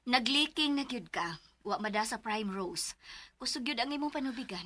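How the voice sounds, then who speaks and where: A woman playing a brisk midwife. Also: on the high side, expressive, over loud music, close to an everyday voice. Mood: matter-of-fact. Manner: brisk, matter-of-fact instructions. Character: calm businesslike midwife at a clinic.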